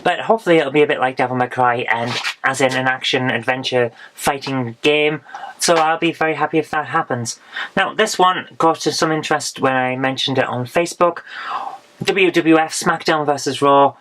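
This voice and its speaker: A woman, hoarse from losing her voice, chatting frankly through a haul of retro games with dry asides. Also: rumbling low, moderate pace, fairly bright tone, slight background noise, poor audio. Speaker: retro game vlogger. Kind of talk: casual game haul review.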